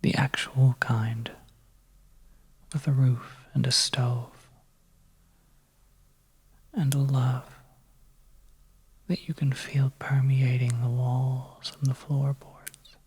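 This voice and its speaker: A storyteller narrating a suspenseful ASMR tale in a soft, breathy whisper, hushed and gentle with pauses. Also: mid-range voice, flat delivery. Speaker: audio storyteller.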